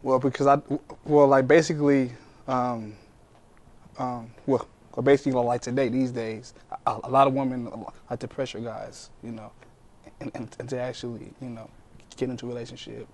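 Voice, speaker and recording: A man's voice: medium pitch, flat delivery, moderate pace. A man in his mid twenties chatting casually as a guest, hesitant and repeating himself as he searches for words. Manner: hesitant, repetitive, trailing off. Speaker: panel guest. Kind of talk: casual chat. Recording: faint background noise, room ambience.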